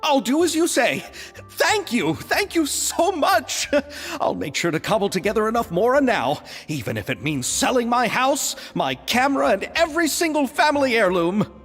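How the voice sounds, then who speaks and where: Game role: earnest, anxious male film director, hugely swooping pitch, hesitant, music underneath, long reverb. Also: big pitch swings, moderate pace, fairly bright tone, full character voice. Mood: overwhelmed, grateful. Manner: hesitant, flustered, effusive. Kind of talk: video game voice acting.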